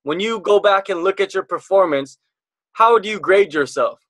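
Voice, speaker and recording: A young adult man runs the interview over a webcam call, posing leading, encouraging questions. His voice is a bit high for a man, and the sound is close and dry with no room echo. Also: animated, normal speed, fairly bright tone. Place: indoors, home room. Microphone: video call webcam.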